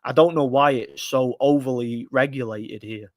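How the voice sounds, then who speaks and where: A man's voice: medium pitch, fairly even intonation, normal speed, no room sound. A man in his thirties talking boxing on a casual live stream, rambling with many restarts and giving blunt, frustrated opinions. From United Kingdom. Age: 30s. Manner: rambling, frequent restarts and fillers. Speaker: livestream host.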